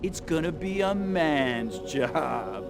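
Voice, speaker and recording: An actor playing an excitable, self-important resistance fighter in a video game, blustering and stammering as he talks. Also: fairly high pitch, slow pace, over music. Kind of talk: video game cutscene acting.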